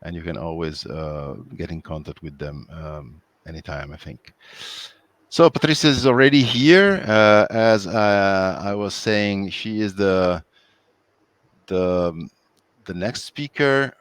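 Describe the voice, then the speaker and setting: A man moderating an online webinar over a video call, talking in a hesitant, halting way with many fillers. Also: low voice, no room sound.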